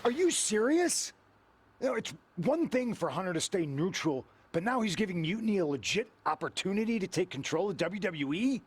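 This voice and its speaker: Indignant male wrestler, raspy and a bit high, determined, game story scene. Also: expressive, fairly quick. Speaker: voice actor in a wrestling video game. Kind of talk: scripted cutscene dialogue.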